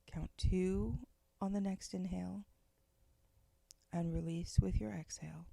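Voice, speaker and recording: Slow, soft-spoken woman guiding meditation. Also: on the low side, steady tone, creaky, dry recording. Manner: slow, soft, gentle instructions with long pauses. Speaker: meditation guide. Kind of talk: guided meditation.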